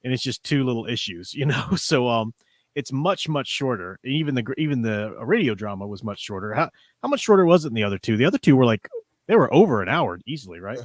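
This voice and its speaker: A man with a mid-pitched voice hosts an informal book chat. He rambles easily from point to point. The sound is dry, with very little room in it. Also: sing-song, quick pace, dry recording. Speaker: host. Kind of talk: casual live-stream book discussion. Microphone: newly borrowed, older studio microphone.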